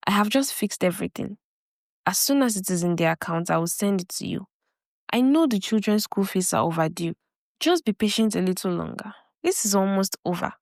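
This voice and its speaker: A smooth-talking suitor , voiced by a woman, coaxes and reassures in a storybook tale. The recording is close and dry. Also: medium pitch, a little fast, darkish tone, no room sound, natural voice. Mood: soothing. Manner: coaxing, reassuring. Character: smooth-talking, scheming male suitor and businessman.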